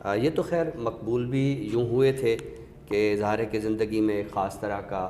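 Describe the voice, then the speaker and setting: Reverberant room, man, Pakistani accent, slightly low pitch, measured literary host, pauses, recited verse. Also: fairly even intonation, warm tone. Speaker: host of an Urdu literature show. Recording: echoey reverberant room, faint noise.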